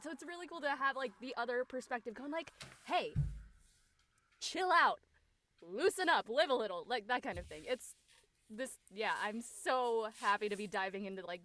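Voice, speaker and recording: A young woman in casual panel chat, animated and peppering her sentences with "like". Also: on the high side. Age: young adult. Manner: animated, says "like" very often. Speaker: actress on a cast discussion panel.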